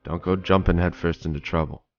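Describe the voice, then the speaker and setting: Actor as a deep, fatherly old retired cop. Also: fairly even intonation, a little fast, lo-fi sound. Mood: wary, stern. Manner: blunt, old-time slang, paternal. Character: gruff, fatherly retired male police detective. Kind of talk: noir audio drama dialogue.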